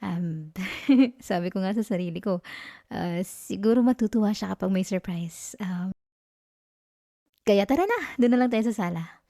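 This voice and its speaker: A woman's voice: mid-range voice, moderate pace, creaky, pauses often, dark tone, dry recording, clean studio sound, close to an everyday voice. Babaeng gumaganap na malambing at possessive na girlfriend, malapit sa mic. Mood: sweet, coaxing. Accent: Filipino. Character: clingy, possessive young student girlfriend, sweetly manipulative. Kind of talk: ASMR girlfriend roleplay. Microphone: close microphone, dry sound.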